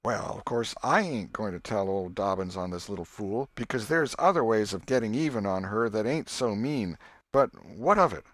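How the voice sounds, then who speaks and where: A scornful, cocky schoolboy from a storybook, acted by a male narrator. He talks to himself in folksy slang, dismissive and sure of himself, with the pitch nudged upward. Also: animated, moderate pace, a bit bright, no room sound. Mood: scornful musing.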